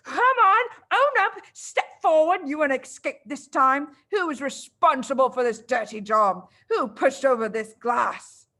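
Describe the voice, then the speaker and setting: A woman's voice: high-pitched, animated, exaggerated voice. A woman voicing a furious, bullying giant headmistress in a storybook reading, roaring out accusations. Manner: roaring accusations, drawn-out shouted words.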